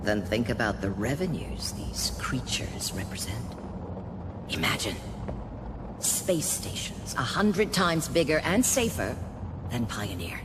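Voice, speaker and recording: An actress playing a haughty, calculating corporate colony director in a video game, speaking in a condescending, persuasive, deliberate way. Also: female voice, very deep voice, sing-song, noisy background, heavily acted. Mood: grandiose, coaxing. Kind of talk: dramatic video game cutscene acting.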